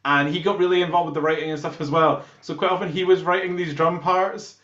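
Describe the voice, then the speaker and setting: A man's voice: fairly high pitch, fairly even intonation, fast, a bit bright. A man with a Scottish accent, a band musician chatting casually in an interview and telling jokey anecdotes. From Scotland. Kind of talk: casual chat. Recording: roomy, echoey, muffled sound.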